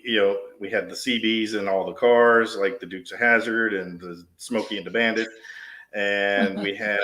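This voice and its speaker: A man's voice: on the low side, sharp tone, poor audio. A man in his forties, a dispatch supervisor, telling stories in a casual, rambling interview style. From US East Coast. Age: 40s. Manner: rambling with frequent restarts. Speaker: podcast guest, dispatch shift supervisor.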